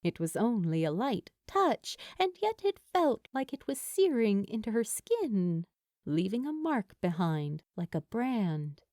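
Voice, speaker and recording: Female story narrator, unhurried and animated, mid-pitched, dry recording. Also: no echo. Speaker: fan fiction narrator.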